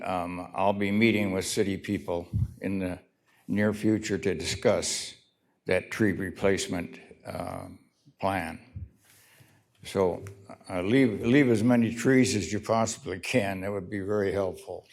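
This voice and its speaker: Slow gravelly-voiced man at a podium. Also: sits low, steady tone. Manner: halting, pointed, questioning. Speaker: resident giving public comment. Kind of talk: public comment at a city meeting. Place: city council chamber.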